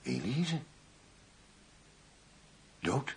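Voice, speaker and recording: An actor playing a hard-bitten, cynical undercover police commissioner in a Dutch radio play, terse and slightly husky. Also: male voice, mid-range voice, wildly swooping pitch, very slow, slight background noise, full character voice. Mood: uneasy, stunned. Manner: terse, dry. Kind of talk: Dutch radio drama dialogue.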